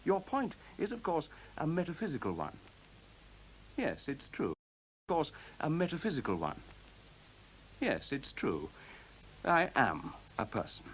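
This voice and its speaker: Urbane, mischievous machine intelligence, radio play, articulate and lively, faint tape hiss. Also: male voice, a little high, unhurried, long pauses, rough recording. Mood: reflective, wry. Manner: mischievous, teasing, articulate, formal. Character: witty, urbane male talking intelligent computer.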